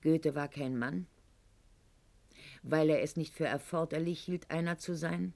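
An actress playing a proud, wounded middle-aged aristocratic lady in a radio play monologue, articulate and ironic, with weighted pauses. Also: deep voice, vocal fry, darkish tone. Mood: wounded, confessional.

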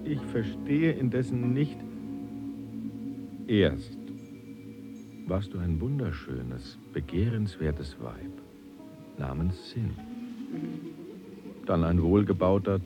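A man's voice: low voice, animated, slow, long pauses, heavily acted. An actor playing a bewildered earthly traveller in an audio drama, hesitant and searching for his words. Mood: puzzled. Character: bewildered earthly male traveller questioning cosmic beings. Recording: music under the voice.

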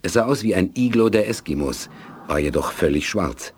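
A man's voice: low-pitched, lively intonation, moderate pace, a little hiss or hum. A man reading scripted narration for a German audio drama.

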